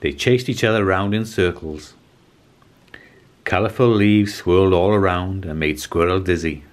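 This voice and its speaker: A man in his forties reading a children's storybook aloud as scripted narration, in a measured, expressive way. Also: low-pitched. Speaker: children's storybook reader and host.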